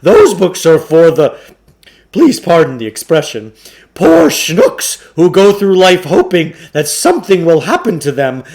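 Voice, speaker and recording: A man voicing a pompous, flattering huckster shopkeeper from a storybook, in theatrical salesman patter with hesitant asides. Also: high-pitched, pitched above the performer's own voice, full character voice. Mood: emphatic, flattering. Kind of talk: storybook character voice.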